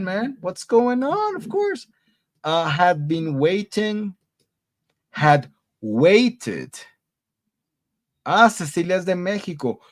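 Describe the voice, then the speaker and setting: A man teaching an English grammar class live online, upbeat and encouraging, switching between Spanish, English and Portuguese. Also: a little high. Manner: repeats praise like muy bien. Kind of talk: live online class reading student answers.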